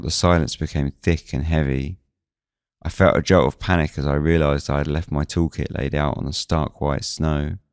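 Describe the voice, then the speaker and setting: Man, very low-pitched voice, suspense story narration, close dry recording. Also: no room sound. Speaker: narrator of a fiction podcast. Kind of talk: scripted first-person suspense narration.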